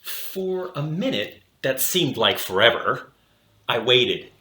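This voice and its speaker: A man narrates a children's storybook as a read-aloud host. His voice is bright and forceful, with lively, dramatic swings and deliberate pacing. The recording has a clear room echo. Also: medium pitch.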